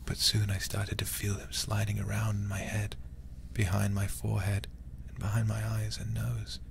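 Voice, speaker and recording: A man voicing a twitchy, eerie child devoted to grandpa, in a hushed, halting horror-game narration. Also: on the low side, monotone, vocal fry, slight background noise. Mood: eerie, dreamy.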